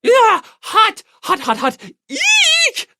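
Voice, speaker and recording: A woman playing a servile, excitable, pompous chubby teenage boy in an audiobook, with florid, overformal speech. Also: extremely high pitch, extremely slow, very noisy, pitched above the performer's own voice, exaggerated voice. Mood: squealing, excited. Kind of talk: anime-style audiobook character acting.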